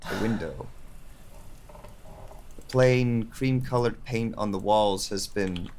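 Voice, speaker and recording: A performer reading as an audio drama narrator who describes bleak surroundings in a halting, deliberate way. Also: mid-range voice, a little mellow, a little hiss or hum, lightly acted. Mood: slow, sombre. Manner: slow, halting, deliberate delivery.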